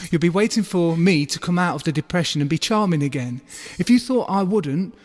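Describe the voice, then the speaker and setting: Audio drama boyfriend, played by an actor: male, high-pitched, insecure, argumentative, reproachful, in long reverb. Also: animated, fairly quick, lightly acted. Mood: anxious, accusing. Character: insecure, accusing boyfriend demanding unconditional love. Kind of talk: naturalistic audio drama dialogue.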